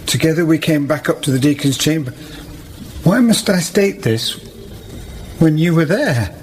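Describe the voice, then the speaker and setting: An actor playing a pious, wrongly accused weaver in a period radio drama, speaking in halting, formal, old-fashioned phrases. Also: male voice, fairly high pitch, lively intonation, a little mellow, slight background noise, lightly acted. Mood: pleading, bewildered. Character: Silas Marner, earnest pious weaver, wounded and wrongly accused.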